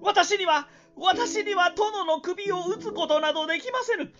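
A man's voice: very high voice, unhurried, muffled recording, music in the background, pitched above the performer's own voice. A man voicing a loyal, tender-hearted samurai retainer in a radio drama. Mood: pleading.

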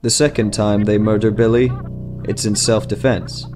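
A man's voice: lowish voice, little pitch movement, normal speed. A man reading a scripted short story as a dramatic narrator. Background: music.

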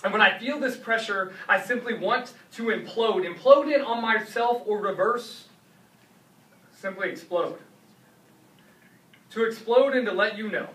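A man's voice: high-pitched, a little slow, pauses often, fairly bright tone. A man performing slam poetry in an intense, emphatic, rhythmic delivery that leans on repeated words. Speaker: slam poet. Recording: roomy echo, distant mic, faint noise.

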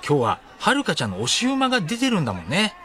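A gentle young romantic lead, played by a man, lively and slightly high in pitch. He sounds friendly and earnest, as the admired senior of a radio drama. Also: fast, full character voice.

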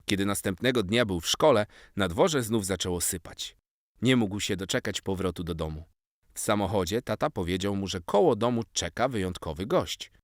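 A man narrating a scripted children's story in an expressive storytelling style. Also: deep voice, no echo, clean studio sound.

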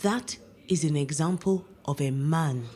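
A woman's voice: sits low, unhurried, mellow. An actress playing a proud middle-aged mother in an audio drama, pointed and guilt-tripping with her grown son. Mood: reproachful, proud.

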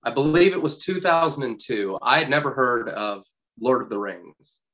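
A man's voice: mid-range voice, moderate pace, muffled recording. A man from the United States telling a story from his childhood in a casual interview. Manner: lively anecdotal storytelling.

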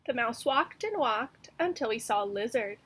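A woman's voice: fairly high pitch, animated, moderate pace, fairly bright tone, breathy edge. A young woman teacher reading a storybook and giving a lesson to children. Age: young adult. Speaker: elementary school teacher.

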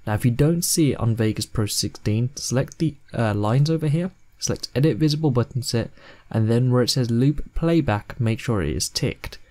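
A man in his twenties narrating a software tutorial in plain, step-by-step instructions. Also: medium pitch, moderate pace. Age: 20s. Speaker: host of video editing tutorials.

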